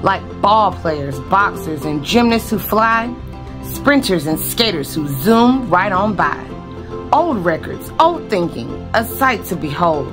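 A woman's voice: mid-range voice, sing-song, slow. A woman reading a rhyming children's picture book aloud expressively, phrase by phrase, with emphasis. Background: music.

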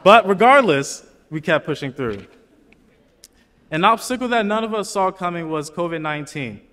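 Young man, student graduation speaker, slightly high voice, earnest and conversational, lectern mic in a reverberant hall. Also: lively intonation, normal speed, fairly bright tone. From Chicago, USA. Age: young adult. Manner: earnest, chatty asides to the crowd. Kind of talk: commencement speech. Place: large auditorium stage. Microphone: lectern microphone.

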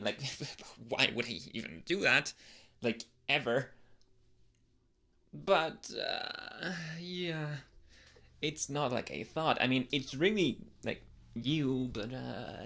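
A flustered tsundere anime boy, acted by a man, speaks slowly and haltingly in an intimate ASMR roleplay. His male voice is bright and tense, with a creaky edge. He stammers and hesitates like someone hiding a crush. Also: mid-range voice, full character voice. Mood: flustered, awkward.

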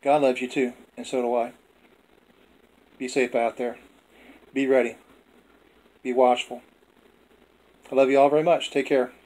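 A man narrates a casual home video as its host. His pitch is mid-range and stays very even, with little rise or fall. He talks in a plainspoken, folksy way. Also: unhurried, long pauses, low-quality recording.

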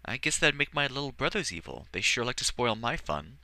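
A man's voice: mid-range voice, sing-song, fairly quick, pushed and bright, no echo, pitched above the performer's own voice, heavily acted. A man voicing an earnest, emotional young boy in a storybook reading, eager and wavering.

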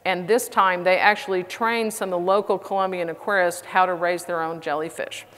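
A woman's voice: fairly low pitch, steady tone, fairly quick, a bit bright. A middle-aged American woman giving a conference presentation in a casual, enthusiastic way, with fillers as she talks through slides. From United States. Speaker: conference speaker. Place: conference hall. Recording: reverberant hall.

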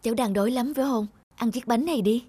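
A woman's voice: a little high, fast talker, warm tone, slightly rough. Kind, patient cartoon mother. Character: poor, kind, patient mother selling bread at market. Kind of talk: cartoon voice acting. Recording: music behind the voice.

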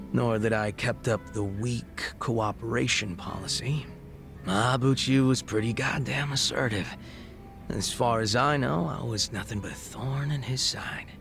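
A man voicing a laid-back, wistful gang leader in his thirties in a video game, reflective, unhurried and casually profane, with a gravelly voice. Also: on the low side. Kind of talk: video game cutscene dialogue acting.

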